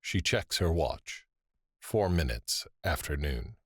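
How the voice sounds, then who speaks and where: A man narrating an audio drama in measured, descriptive scripted prose. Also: extremely low pitch, animated, breathy edge, no room sound, clean studio sound, heavily acted. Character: male narrator setting scenes, measured and descriptive. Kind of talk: scripted present-tense scene narration.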